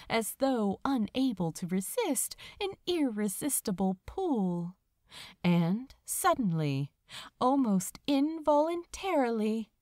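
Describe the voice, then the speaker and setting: A woman narrating fan fiction as a dramatic audiobook, with deliberate phrasing. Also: a little high, a little mellow, dry recording, clean studio sound.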